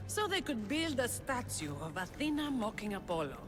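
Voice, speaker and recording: Kira, a fierce rebel leader. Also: female voice, on the high side, sing-song, normal speed, over music. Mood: urgent. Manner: sharp, teasing, commanding. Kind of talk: video game cutscene acting.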